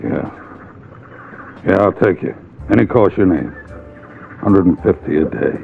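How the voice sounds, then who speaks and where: Casting: gruff old-salt skipper, deep gravelly drawn-out delivery, vintage radio drama. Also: male voice. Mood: wary, shrewd. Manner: slow, laconic. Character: wary, gruff, shrewd old-salt boat captain. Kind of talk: 1950s radio drama acting. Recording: tinny, noisy old radio recording.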